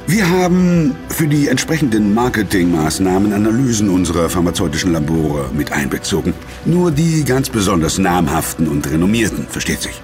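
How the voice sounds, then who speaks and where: Imperious corporate boss, smug and formal, lowish voice, very varied pitch. Audio drama. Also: male voice, sing-song, normal speed, hardly any pauses, music in the background. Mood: smug, ingratiating. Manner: smug, formal, hesitant before superiors. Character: imperious corporate executive, curt to staff, obsequious to superiors. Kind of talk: German audio drama acting.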